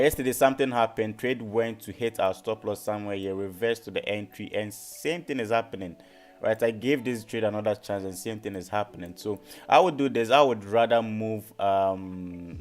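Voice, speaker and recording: A man hosting a live trading lesson, repeating his phrases and often checking in with his listeners. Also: fairly low pitch. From Ghana. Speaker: forex trading educator and live stream host. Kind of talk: live stream teaching, market analysis.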